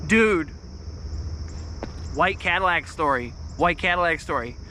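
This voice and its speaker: A man in his thirties with a high, squeaky, nasal voice and a bright, tense edge. He rambles through a casual livestream story, swearing, restarting and spiking in volume. Crickets and insects chirp faintly outdoors behind him. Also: lively intonation, unhurried, slight background noise. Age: 30s. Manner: swears freely, restarts often, volume spikes. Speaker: vlogger on a livestream.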